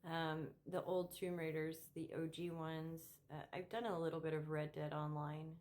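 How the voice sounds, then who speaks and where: Low-voiced, emphatic woman vlogger. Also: level tone, darkish tone. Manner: emphatic, opinionated, halting with repeated words. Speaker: political commentary vlogger. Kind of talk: unscripted opinion monologue to camera.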